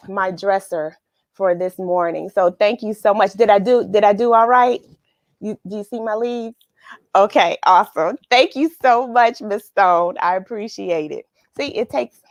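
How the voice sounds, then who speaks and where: A woman's voice: medium pitch, no room sound. A woman hosting a children's read-along in a casual, effusive way, with sing-song phrasing and repeated words. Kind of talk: casual livestream greetings and picture-book read-aloud.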